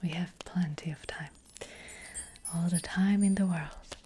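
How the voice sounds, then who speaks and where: A woman playing a gentle, hesitant witch fortune teller in an ASMR roleplay, speaking in a breathy, hushed whisper. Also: lowish voice, steady tone, natural voice. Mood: gentle, mysterious. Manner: slow, hushed, hesitant, unhurried. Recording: close-up with faint background noise.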